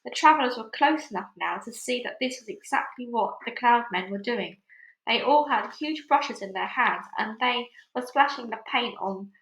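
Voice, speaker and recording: Female storybook narrator, fairly high voice, dramatic delivery, recorded in an echoey room. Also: moderate pace. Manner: expressive, dramatic character reading. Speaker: book reader. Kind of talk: children's storybook read-aloud.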